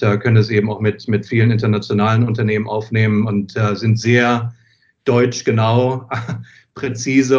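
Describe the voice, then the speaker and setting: A man's voice: on the low side, darkish tone. Hesitant man, slightly dull recording. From born in England, grew up in Wiesbaden, Germany. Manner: hesitant, pausing and repeating words while thinking. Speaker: podcast guest. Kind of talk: conversational interview answers.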